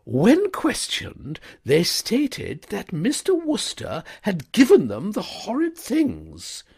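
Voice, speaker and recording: A man reading a story, voicing a stern, indignant older headmistress in a shrill, scandalised tone. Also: wildly swooping pitch, slow, gravelly, a little mellow, husky, full character voice.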